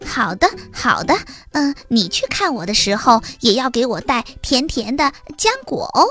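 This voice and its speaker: Woman playing a storybook city mouse, very high, lively swoops, eager, friendly, repeats words. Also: moderate pace, faint music behind. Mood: grateful, cheerful. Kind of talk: children's storybook character voice.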